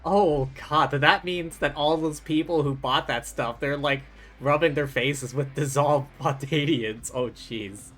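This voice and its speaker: A streamer giving casual live reactions to a game in halting, musing asides into a streaming microphone. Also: mid-range voice, fairly bright tone.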